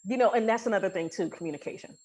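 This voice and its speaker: A woman's voice: medium pitch, rapid-fire, dark tone. A woman hosting a casual interview podcast, talking in an affirming, explanatory way. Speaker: podcast host.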